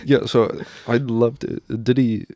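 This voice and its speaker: A man co-hosting a comedy podcast, speaking in a deadpan, ironic way and asking lots of questions. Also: mid-range voice, measured pace, no room sound, studio quality. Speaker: podcast co-host. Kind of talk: casual comedy podcast chat.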